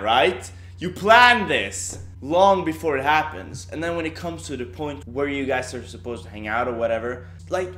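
A young man hosting a casual vlog at home, energetic and playful. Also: mid-range voice, reverberant. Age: young adult. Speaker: host. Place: indoor home room, kitchen behind.

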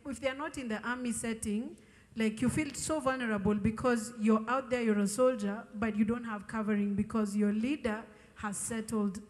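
High-pitched woman in a reverberant hall. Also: fairly even intonation, moderate pace. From Ethiopian raised in Kenya. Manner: candid, conversational, emphatic. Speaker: church worship leader sharing testimony. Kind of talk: informal testimony to a congregation. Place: large open-sided tent gathering. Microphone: handheld microphone.

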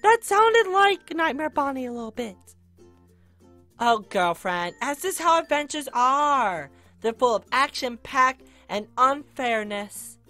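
A woman plays a sassy animatronic friend with a high, bright voice. The character is breezy and nonchalant in a Minecraft roleplay, with music underneath. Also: big pitch swings, slow, heavily acted. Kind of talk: Minecraft roleplay video acting.

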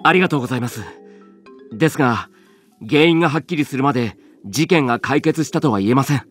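A man's voice: mid-range voice, quick pace, close to an everyday voice. A man playing a polite, earnest young warrior in a Japanese anime game, formal and respectful, easily flustered. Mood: earnest, puzzled. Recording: music behind the voice.

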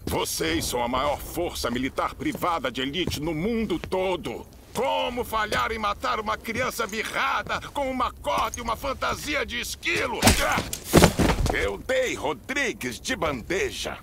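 An actor playing a vain, ruthless middle-aged dictator in a video game, speaking pompous, theatrical Brazilian Portuguese with deliberate menace. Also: male voice, high voice, lively intonation, gravelly, very noisy, exaggerated voice. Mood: furious. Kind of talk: dramatic video game cutscene acting.

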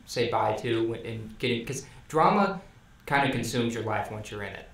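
Young male co-host, halting questioner. Very echoey room, distant mic. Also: mid-range voice, animated, creaky, fairly bright tone. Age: young adult. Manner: halting, restarts questions often. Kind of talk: casual interview chat. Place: dark studio room. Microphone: studio condenser mic on stand.

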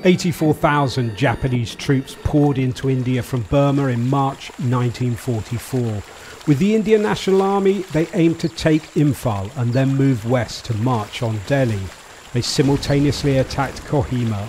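A man narrates a scripted documentary in a medium-pitched voice. Faint ambient noise runs behind him. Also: a little mellow.

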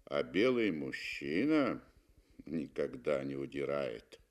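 A man plays a cool British safari hunter in a radio story. The voice is low and assured. He speaks bluntly, in clipped phrases, without hurry. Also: sing-song, slow pace, full character voice. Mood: coldly firm.

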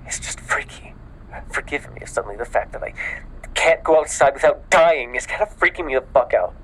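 A man playing a panicked, exhausted newly turned vampire in an ASMR audio roleplay, stammering and often dropping into a breathy whisper. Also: mid-range voice, animated, a bit bright, low-quality recording. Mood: panicked, agitated. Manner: halting, stammering, breathy sighs and frequent swearing.

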